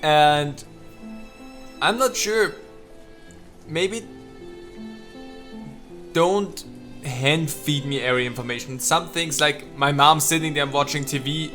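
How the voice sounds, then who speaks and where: A man giving casual let's play commentary as a gaming video host, haltingly thinking out loud as he goes. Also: medium pitch, soft background music.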